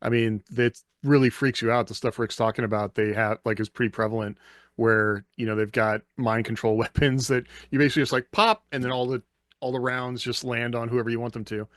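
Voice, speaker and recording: A man's voice: mid-range voice, flat delivery, fairly quick, dry recording. Male podcast panelist. Casual, conversational, recorded dry and close with no room sound. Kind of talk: casual chat.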